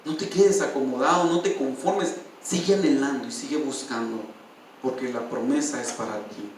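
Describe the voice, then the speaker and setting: A man's voice: mid-range voice, moderate pace, a little hiss or hum. Passionate male pastor in an echoey hall. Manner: emotional testimony, addresses listeners as brother. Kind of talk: sermon. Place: church. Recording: distant, echoey church hall.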